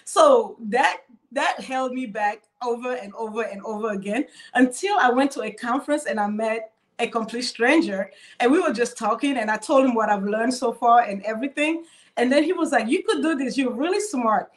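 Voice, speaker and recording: A woman's voice: fairly high pitch, normal speed. A young woman from Cameroon speaking as a cybersecurity panelist on a video call, telling her story in a hesitant way with many fillers and restarts.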